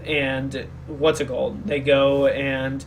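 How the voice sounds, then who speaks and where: A man's voice: mid-range voice, completely flat delivery, slow pace, a little hiss or hum, low-quality recording. A sick man co-hosting a podcast, rambling through a casual opinionated discussion and often searching for words. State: sick, speaking more quietly than usual. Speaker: podcast co-host.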